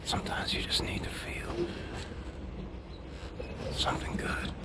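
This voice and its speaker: An actor playing a weary, hardened soldier musing in a hushed, brooding whisper in a game. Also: male voice, unhurried, very noisy, close to an everyday voice. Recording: game audio under loud music.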